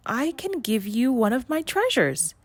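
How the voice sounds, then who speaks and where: A wistful little mermaid girl from a children's storybook, as a woman narrator puts her on. The voice lifts higher and sounds young, earnest and innocent, with a touch of hesitation. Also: very animated, a little slow, slightly rough, no room sound, heavily acted. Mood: earnest, hesitant.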